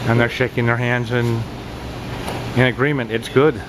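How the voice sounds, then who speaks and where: A man's voice: mid-range voice, moderate pace. A man hosting an informal on-location video tour, commenting in a folksy, admiring way. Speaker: host and reporter behind the camera. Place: large industrial workshop, indoors. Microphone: handheld camera, speaking from behind it. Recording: steady ventilation and workshop machinery noise.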